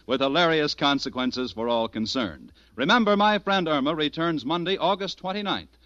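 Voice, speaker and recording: Bright, animated American male radio announcer. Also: on the high side, no room sound. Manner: polished, promotional. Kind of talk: 1940s radio announcing, scripted. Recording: dull vintage radio recording, music behind.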